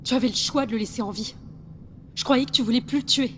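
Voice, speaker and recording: A woman's voice: fairly high pitch, fast, fairly bright tone, a little hiss or hum, natural voice. A woman playing a principled female intelligence agent in a French audio drama, urgent and reproachful in manner. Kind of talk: French audio fiction acting.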